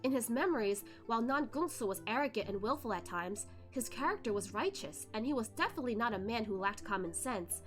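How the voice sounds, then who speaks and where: A woman with a high voice hosts a read-along, narrating a dramatic novel expressively. Faint music plays behind her. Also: animated, a little fast, hardly any pauses. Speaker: host of a novel read-along, virtual streamer. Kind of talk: expressive story narration with casual asides.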